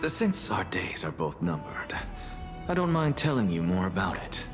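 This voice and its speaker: An actor playing a proud, sardonic scholar in a video game, dry, theatrical and weary of being teased. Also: male voice, low voice, very animated, moderate pace, over music. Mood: grudging. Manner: dry, haughty, exasperated, theatrical.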